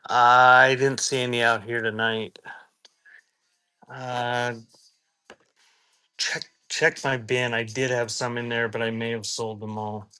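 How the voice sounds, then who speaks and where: A man with a bright, forceful voice of average pitch hosts a casual live sale. He talks off the cuff at a slightly relaxed pace, muttering to viewers between items. Music plays behind him. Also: takes it slowly, long pauses, smooth. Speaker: live auction seller and host. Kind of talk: casual live-selling chat.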